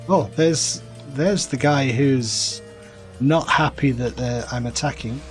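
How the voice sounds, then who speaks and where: A man with a mild northern English accent, softened by time in the south, talks in an easy mid-range voice while he plays. He muses aloud and answers his viewers in a relaxed, chatty way. Light music plays quietly behind him. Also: mid-range voice, takes it slowly. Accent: mild Northern English, softened by years in the south. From northern England. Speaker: gaming streamer. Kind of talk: casual live-stream commentary while playing.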